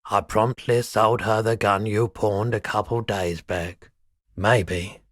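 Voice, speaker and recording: A world-weary pawnshop owner from a video game, male and very low in pitch. He takes his time over each phrase, speaking slowly and softly with a wistful, laid-back air. The voice is husky and sits close and dry. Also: steady tone, no room sound. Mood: weary, matter-of-fact. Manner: unhurried, low-key, deliberate. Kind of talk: video game character dialogue.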